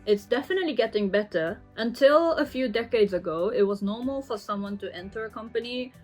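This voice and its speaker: A woman's voice: on the high side, fairly quick, soft background music, poor audio. Chatty young woman vlogger. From Japan. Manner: chatty, many "you know" fillers. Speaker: vlogger answering viewer questions. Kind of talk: casual Q&A chat.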